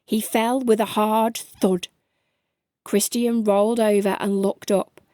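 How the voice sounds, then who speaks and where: A woman's voice: medium pitch, level tone, slow, mellow, no echo, studio quality. A woman storyteller reading a children's bedtime story aloud.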